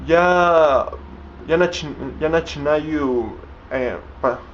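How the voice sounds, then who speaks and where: Halting man, dull recording. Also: fairly high pitch, fairly bright tone, a little hiss or hum. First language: Spanish. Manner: halting, many restarts and fillers while searching for words. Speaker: language learner vlogging. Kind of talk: unscripted vlog in a learned language.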